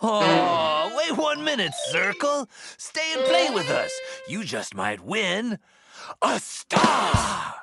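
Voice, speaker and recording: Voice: mid-range voice, wildly swooping pitch, takes it slowly, creaky, exaggerated voice. An actor playing a cheerful cartoon star who hosts a children's game show in a showy, encouraging way. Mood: upbeat, encouraging. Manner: showy, encouraging announcer delivery. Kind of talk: children's educational cartoon acting. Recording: music behind.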